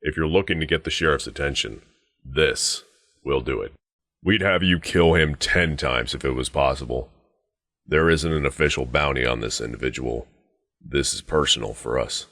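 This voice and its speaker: A man as a very deep-voiced gruff guard sergeant. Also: normal speed, fairly bright tone, no room sound, clean studio sound. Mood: blunt, businesslike. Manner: curt, commanding, threatening, dryly sardonic. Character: gruff, menacing, suspicious male sheriff's guard sergeant. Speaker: video game character voice. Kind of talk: scripted game dialogue.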